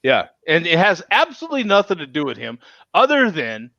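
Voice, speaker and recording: A man's voice: a little high, huge pitch swings, brisk, vocal fry, pushed and bright, dry recording, muffled recording. A man hosting a casual chat show in a folksy manner, often repeating himself as he talks. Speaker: show host.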